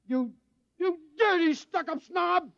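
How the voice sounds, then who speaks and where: A man's voice: very high-pitched, takes it slowly, vocal fry, fairly bright tone, full character voice. A man playing a frightened, resentful rebel leader in a radio drama, whining and stammering defensively. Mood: bitter outrage. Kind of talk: 1950s radio drama acting. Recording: muffled old 1950s radio recording.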